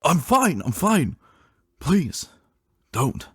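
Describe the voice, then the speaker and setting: A man voicing a wounded soldier pony father, patient, weary and gentle, in a dramatic story reading. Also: on the high side, wildly swooping pitch, very slow, slightly rough, no room sound, studio quality, pitched above the performer's own voice, heavily acted. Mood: alarmed. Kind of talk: dramatic fan-fiction reading.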